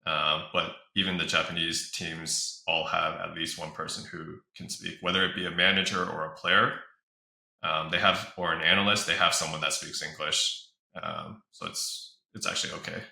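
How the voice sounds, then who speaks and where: Deep gravelly man, bright and roomy. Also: moderate pace. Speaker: esports scrim organizer, interview guest. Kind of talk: casual interview answers. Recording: roomy echo.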